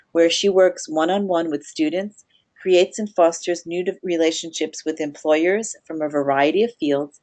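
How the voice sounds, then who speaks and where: A woman hosting a webinar for an alumni office, reading a scripted introduction of the speaker in a measured, formal way. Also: on the low side, a little mellow. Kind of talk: scripted introduction read aloud.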